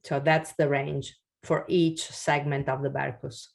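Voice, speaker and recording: Low-voiced, slow, hesitant woman. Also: little pitch movement, creaky. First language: Italian. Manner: hesitant, many fillers and restarts. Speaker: investor workshop speaker. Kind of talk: webinar presentation and Q&A.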